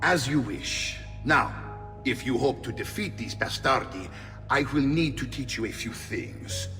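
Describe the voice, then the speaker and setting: Game uncle, male, middle-aged, gruff and blunt, hall reverb, loud music. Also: mid-range voice, lively intonation, unhurried. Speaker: hero's uncle, mercenary leader.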